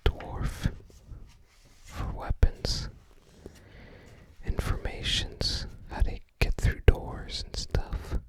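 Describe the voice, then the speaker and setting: Slow, whispering ASMR host. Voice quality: breathy, unvoiced whisper. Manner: slow, murmuring in short fragments, musing aloud. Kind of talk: ASMR, casual page-by-page commentary on a book.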